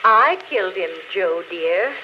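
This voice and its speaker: An actress in a 1940s radio drama playing a cool, confiding murderess who confesses in a matter-of-fact way. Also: female voice, medium pitch, animated, a little slow, close to an everyday voice. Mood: calm, confessing. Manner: matter-of-fact, gently addressing her dupe as dear. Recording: muffled, hissy old 1940s radio recording.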